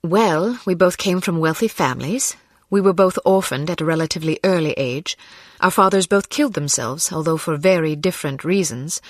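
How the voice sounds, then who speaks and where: A cool female secret agent from a spy game , played by an actress, speaks in a fairly low voice with dry composure. The sound is close and dry, and her wit stays understated. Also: moderate pace, dry recording, natural voice. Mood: reflective. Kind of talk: scripted spy game dialogue.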